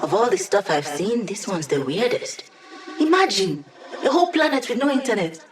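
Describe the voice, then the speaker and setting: A woman's voice: mid-range voice, big pitch swings, normal speed, lightly acted. Game role: actress as young female tech expert, snarky, playful, upbeat, conversational delivery with a teasing edge. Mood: wry, teasing. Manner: wry, casual, playful teasing. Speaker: video game support character. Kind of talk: video game voice acting.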